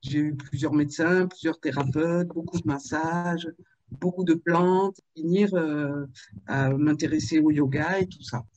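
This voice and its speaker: A woman in her fifties from Marseille, telling her life story in a video-call interview, with frequent pauses and fillers. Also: low voice, steady tone, darkish tone, dry recording, muffled recording. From Marseille, France. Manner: hesitant, with many fillers and restarts. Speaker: author and interview guest.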